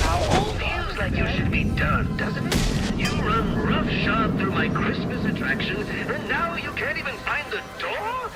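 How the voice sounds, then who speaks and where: A male actor playing a manic, theatrical, gleefully sadistic criminal clown in a video game, taunting with drawn-out words and sudden emphasis. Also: very high-pitched, full character voice. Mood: mocking glee. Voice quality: theatrical voice swooping into cackles. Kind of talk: video game villain acting. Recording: loud music under the voice.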